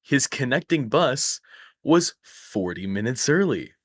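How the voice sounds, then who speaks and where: A man with a slightly raspy voice, rambling through a story in casual chat over a voice call. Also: mid-range voice, sing-song, takes it slowly, fairly bright tone, no echo. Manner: rambling, self-correcting storyteller. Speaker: stream guest. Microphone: close microphone.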